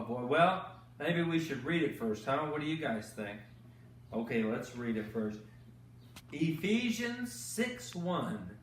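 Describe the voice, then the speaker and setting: A man hosting bedtime Bible stories for children, teaching gently with exaggerated, kid-friendly questions and repeated phrases. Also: medium pitch, recorded from a distance. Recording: echoey room, off-mic, faint noise.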